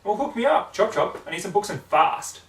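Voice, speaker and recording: A man's voice: high-pitched, sing-song, normal speed, roomy sound, far from the mic, voice pushed up. A man voicing a cocky young female pegasus with a blunt, defiant manner in a story reading. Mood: brash, impatient.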